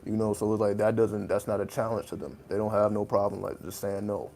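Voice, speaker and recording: A man with a deep voice talking in a laid-back, casual monologue, loose with slang and swearing. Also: little pitch movement, a little mellow. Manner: laid-back, profane, streetwise slang, drawn-out phrasing. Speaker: channel host.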